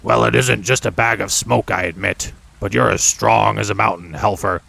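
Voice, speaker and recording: A man voicing a gruff, bossy older goblin father with a rough, deep voice in a storybook reading. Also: steady tone, normal speed, pushed and bright, no echo, exaggerated voice. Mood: gruff, unhurried.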